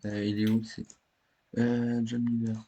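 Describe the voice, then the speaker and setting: A man's voice: medium pitch, monotone, a little slow, warm tone, lo-fi sound. A young man chatting casually in an online game voice chat, brash and full of slang and swearing. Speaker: online roleplay game player. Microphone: online voice chat microphone.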